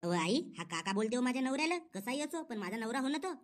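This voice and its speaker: A man's voice: very high-pitched, sing-song, fast. A man with a rural Maharashtrian accent voicing an aggrieved young village wife in a comedy cartoon, grumbling in a plaintive, gossipy way. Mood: defensive.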